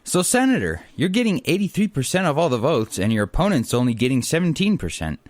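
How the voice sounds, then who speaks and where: A man as a naive aide, very animated. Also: fairly high pitch, fast, dry recording. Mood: questioning. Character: questioning, naive male political aide. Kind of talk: comedy radio theater acting.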